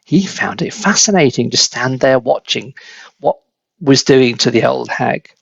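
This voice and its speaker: A man reading a children's story aloud as a dramatic, deliberate, expressive narrator. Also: mid-range voice, vocal fry, slightly rough, no room sound, highs cut off. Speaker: storybook reader.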